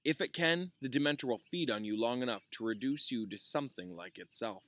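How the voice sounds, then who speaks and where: A man's voice: medium pitch, animated, a little fast, fairly bright tone, no room sound, close to an everyday voice. A man voicing a grave, kindly young wizard professor in a storybook read-aloud, solemn and emphatic. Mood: grave, reassuring.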